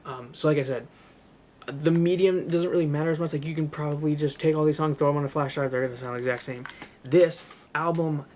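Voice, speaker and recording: A man's voice: a little high, steady tone, a little fast, darkish tone, a little hiss or hum. A young man casually showing off his music collection, rambling with lots of fillers and enthusiastic asides. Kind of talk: casual show-and-tell vlog.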